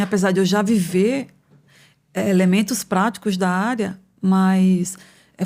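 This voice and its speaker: A woman in her fifties from northern Brazil speaks as a podcast guest, thoughtful and unhurried, with a middle-pitched voice. She gathers her ideas aloud, pausing and adding small tag questions as she explains her path into academia. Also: gravelly, a little mellow. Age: 50s. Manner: thoughtful, measured, frequent hesitations and tag questions. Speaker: economics professor, podcast guest. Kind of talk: conversational interview answers. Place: podcast studio.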